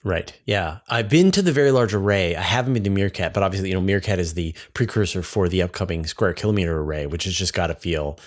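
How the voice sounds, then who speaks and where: A man hosting a science interview, conversational and halting as he rephrases his questions while going along. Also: low-pitched. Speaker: interview host.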